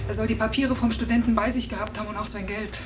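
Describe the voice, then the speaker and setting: A woman's voice: on the high side, steady tone, fast talker, far from the mic, over music, close to an everyday voice. An actress playing a troubled, earnest woman weighed down by guilt, in a naturalistic German radio drama. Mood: shaken, drained. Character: troubled, distressed woman torn by guilt on holiday. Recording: noisy cassette tape, some echo.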